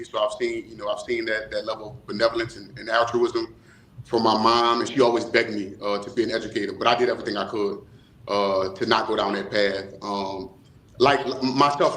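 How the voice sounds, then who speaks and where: A man giving reflective interview answers, choosing formal words in measured, hesitant phrases. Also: on the low side, a bit bright. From New Orleans, USA. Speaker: nonprofit co-founder, interview guest. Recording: roomy, echoing voice.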